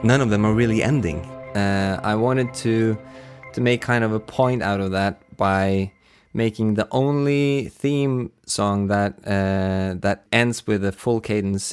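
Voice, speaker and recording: A man explaining his sound design work in a casual, halting interview style with frequent hesitations. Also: fairly low pitch, clean tone. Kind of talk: casual behind-the-scenes explanation.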